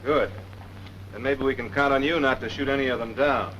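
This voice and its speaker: A man plays a stern but patient air force major in a 1940s film. His voice is medium in pitch, measured and authoritative, on a slightly noisy old soundtrack. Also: fairly even intonation, fairly bright tone, lightly acted. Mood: welcoming, pointed.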